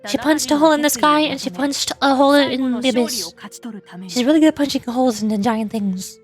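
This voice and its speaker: High-voiced woman streamer musing over a game. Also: brisk. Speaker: VTuber streamer. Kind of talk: casual game playthrough commentary. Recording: game music faintly behind.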